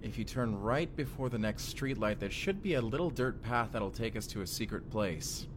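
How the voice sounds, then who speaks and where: A man's voice: fairly low pitch, expressive, moderate pace, background noise, natural voice. A man playing a smitten, slightly nervous young husband from the future in an intimate audio roleplay, talking in a casual, hesitant way. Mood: cautious, calm. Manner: casual, hesitant, sentimental, rambling. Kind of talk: first-person immersive audio roleplay, speaking intimately to the listener.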